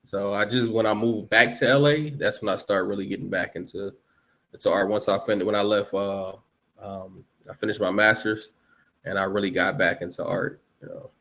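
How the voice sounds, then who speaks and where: A man's voice: on the low side, fairly even intonation, normal speed, sharp tone. A man in his late thirties from Los Angeles, a guest artist talking casually in an interview, rambling with many fillers and restarts.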